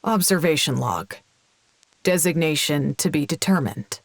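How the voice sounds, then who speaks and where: Weary female scientist for audio drama: deep-set, unhurried, awkward, dryly clinical. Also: big pitch swings, heavily acted. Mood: clinical, detached. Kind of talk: audio drama acting, naturalistic.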